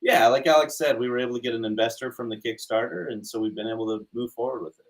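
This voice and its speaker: A man from Utah with a medium-pitched voice talks as a guest in a casual interview. His delivery is easygoing and conversational. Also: quick pace, low-quality recording. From Utah, United States. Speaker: interview guest, animation studio co-founder. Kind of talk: casual chat.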